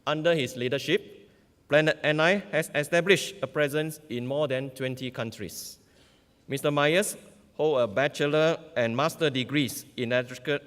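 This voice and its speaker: A man's voice: mid-range voice, a bit bright. Man presenting in a reverberant hall. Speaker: ceremony presenter introducing speaker. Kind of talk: formal scripted introduction, read aloud. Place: large indoor auditorium stage. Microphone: podium gooseneck microphones. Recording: long hall reverb from auditorium PA.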